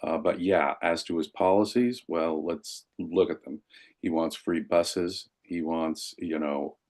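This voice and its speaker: Very deep-voiced male commentator. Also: measured pace, darkish tone. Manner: emphatic, wordy phrasing. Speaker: podcast guest. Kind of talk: political commentary chat.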